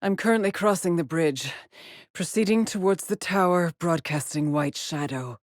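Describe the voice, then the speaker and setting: An actress playing a haunted, driven female astronaut in a video game, brooding and introspective in measured solitary log monologues. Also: fairly low pitch, slight background noise, natural voice. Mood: clinical, focused. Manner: slow, measured, brooding delivery with pauses.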